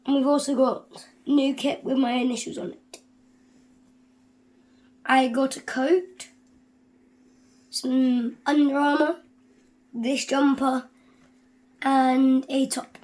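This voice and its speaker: A player with a croaky voice, giving a slow, chatty recorded match report. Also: female voice, high-pitched, flat delivery, a little mellow. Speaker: young rugby player sending a voice note. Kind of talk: recorded voice message.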